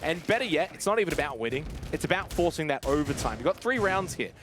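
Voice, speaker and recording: Excitable male esports caster. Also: fairly high pitch, quick pace, music in the background. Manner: excitable play-by-play that rises to shouts in big moments. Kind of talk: live esports commentary.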